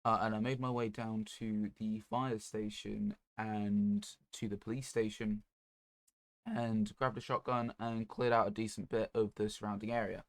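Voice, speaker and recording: Low-voiced man, casual game streamer. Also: little pitch movement, moderate pace, no echo. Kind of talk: casual livestream chat while gaming.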